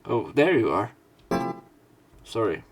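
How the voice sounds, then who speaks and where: A man's voice: fairly low pitch, sing-song, slow, music in the background. A young man hosting a let's play, casually commenting and reading narration aloud in a rambling, hesitant way.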